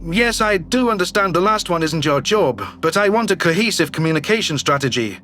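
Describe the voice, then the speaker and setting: Man playing a high-voiced, commanding alien governor. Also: animated, bright, forceful tone, slight background noise. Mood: brisk, commanding. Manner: brisk, authoritative. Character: shrewd, businesslike male alien governor, curt and commanding.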